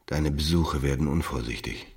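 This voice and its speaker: An actor playing a worldly, cynical, sensual lover in a radio drama, with a gravelly voice and a languid, dryly mocking manner. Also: male voice, rumbling low, normal speed, heavily acted. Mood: cool, mocking. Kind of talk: literary radio drama acting.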